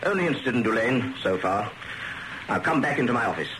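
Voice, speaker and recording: An actor playing a bossy, impatient British spy chief, a major with slangy, brusque speech, in a radio comedy drama. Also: male voice, mid-range voice, expressive, fast talker, echoey room, close to an everyday voice. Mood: impatient, ordering. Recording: noisy radio broadcast recording.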